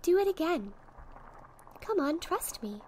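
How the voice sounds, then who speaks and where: Girl doing a soft patient witch friend for asmr roleplay, high voice, slow talking, encouraging, faint ambience behind. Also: very animated, a little hiss or hum, heavily acted, low-quality recording. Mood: calm, encouraging. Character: gentle encouraging young witch friend helping with potions. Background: fizzing, bubbling sound effects.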